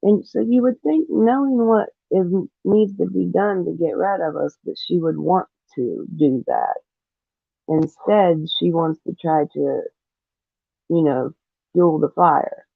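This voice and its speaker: A man's voice: a little high, unhurried, vocal fry, dry recording. A man talking earnestly and disapprovingly as co-host of a casual livestream, reading out comments and giving commentary, with thin phone-like audio.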